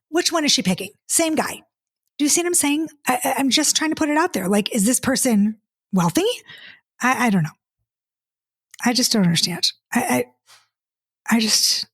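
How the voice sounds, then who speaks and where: A woman's voice: a little high, husky, dry recording, clean studio sound. A woman, hosting a casual solo podcast. She talks in an exasperated, chatty way, stumbles over restarts and reads listener comments aloud before answering them. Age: 50s. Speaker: podcast host and dating coach.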